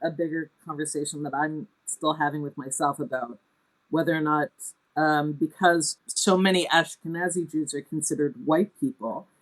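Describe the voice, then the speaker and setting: A woman's voice: sits low, steady tone, normal speed, poor audio. A woman interviewed as a theatre artist, reflective and hesitant, working through her thoughts as she speaks. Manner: hesitant, deliberating, self-questioning.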